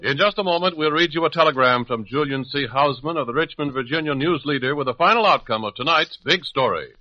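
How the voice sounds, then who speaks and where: A man's voice: on the high side, quick pace, faint music behind. A man announcing for 1950s radio with an emphatic, polished sales delivery. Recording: dull old radio recording.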